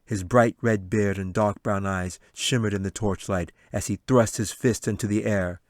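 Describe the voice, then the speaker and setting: Low-voiced man narrating a fantasy tale. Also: fairly even intonation, moderate pace, no room sound, studio quality. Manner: dramatic, storytelling. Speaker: author reading his audiobook. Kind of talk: scripted storybook narration.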